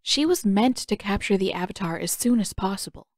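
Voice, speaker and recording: A woman's voice: medium pitch, animated, brisk, no echo, clean studio sound. A woman reading scripted audiobook fiction as the narrator.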